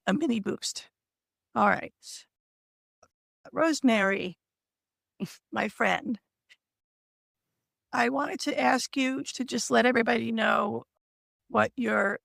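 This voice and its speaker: Woman host with a scratchy, gravelly voice. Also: medium pitch, fairly bright tone, dry recording, studio quality. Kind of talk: casual live chat hosting.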